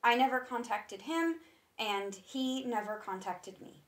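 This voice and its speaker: A woman's voice: a little high, lively intonation, a little slow. An actress playing a guarded, evasive woman with a painful past in a stage drama reading. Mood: urgent.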